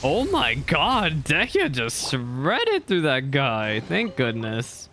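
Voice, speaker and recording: A young man commenting on his own gameplay for his viewers, excitable and quick to complain or cheer. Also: fairly high pitch, slight background noise. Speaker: gaming content creator. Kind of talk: live game reaction commentary.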